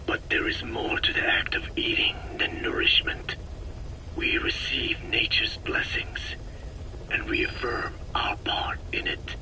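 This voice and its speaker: An actor playing an elderly, solemn Navajo sage in a video game, speaking formally in a hushed, breathy rasp. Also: male voice, in a whisper, natural voice, rough recording. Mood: calm, earnest. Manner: slow, grave, measured, formal phrasing. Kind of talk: video game voice acting, philosophical monologue.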